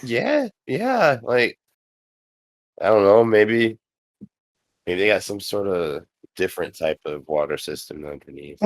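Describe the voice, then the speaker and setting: A man's voice: on the low side, sing-song, measured pace, long pauses, no room sound. A man joking around irreverently in a casual film commentary. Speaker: commentary track participant.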